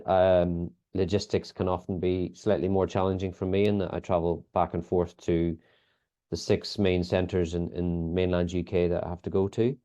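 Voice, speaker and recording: A man's voice: deep voice, level tone, normal speed, warm tone. A Northern Irish man answering interview questions thoughtfully, with frequent fillers and restarts. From Northern Ireland. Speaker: sports biomechanics lead, guest. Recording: dull lo-fi audio.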